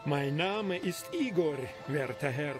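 A stiff, courtly manservant of a count, played by a man for an audio drama. He is haughty and elaborately polite. His fairly high voice moves a lot in pitch over clear background music. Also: lively intonation, slow. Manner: formal, archaic politeness.

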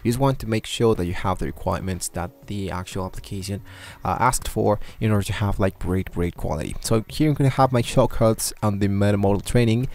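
A man walking viewers through software on his screen, talking loosely with many fillers and restarts. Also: on the low side, brisk. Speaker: tutorial host. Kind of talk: screen-recorded software tutorial. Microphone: desktop microphone at a computer.

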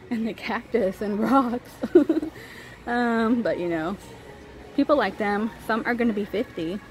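A woman's voice: medium pitch, darkish tone, low-quality recording. An American woman giving a casual, chatty shopping walk-through as a vlogger, browsing inside a large store with a handheld camera. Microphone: handheld phone or camera held by the speaker. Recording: clear ambient store noise. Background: store ambience.

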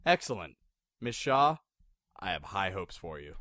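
An actor playing a demanding, quick-tempered lead researcher in an audio drama, formal and authoritative. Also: male voice, low-pitched, very animated, sharp tone, full character voice. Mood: controlled, conciliatory. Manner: formal, commanding. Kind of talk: scripted audio drama dialogue.

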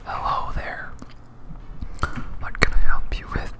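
A gamer speaking in a breathy whisper, giving soft, rambling ASMR commentary while playing a video game. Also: takes it slowly.

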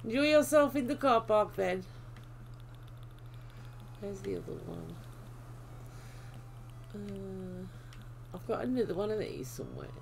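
British lady streaming a game, chatty, kinda losing her voice, creaky and slow. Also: mid-range voice, darkish tone, a little hiss or hum. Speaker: livestream host. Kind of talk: casual livestream chat while gaming. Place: indoor room at home.

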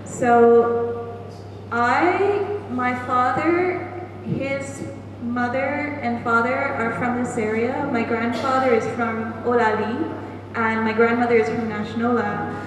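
A middle-aged woman with a Canadian accent speaks as a panel moderator, with a measured, friendly manner. Her voice sits a little high. She is caught from afar in a hall with a long, ringing echo. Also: steady tone. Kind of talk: festival panel talk. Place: large echoing festival hall. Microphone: handheld mic, far camera. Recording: echoey hall, distant, background noise.

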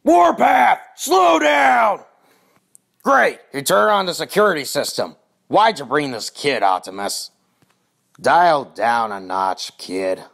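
A man voicing a gruff, irritable, no-nonsense robot soldier with a rough voice for a cartoon audition. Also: on the high side, big pitch swings, slow pace, fairly bright tone, shouting, full character voice. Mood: exasperated. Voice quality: gravelly, rough.

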